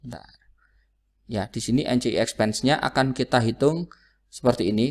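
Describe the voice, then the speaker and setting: A man lecturing through worked calculations, didactic, often asking a question and then answering it himself. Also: medium pitch. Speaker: accounting lecturer.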